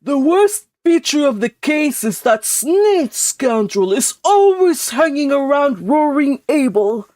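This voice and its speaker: A grave older uncle delivers dire warnings in a stern, disapproving tone, as a woman voices him. He speaks slowly and weightily, in a dry, close sound. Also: high voice, big pitch swings, darkish tone, dry recording, pitched above the performer's own voice, full character voice.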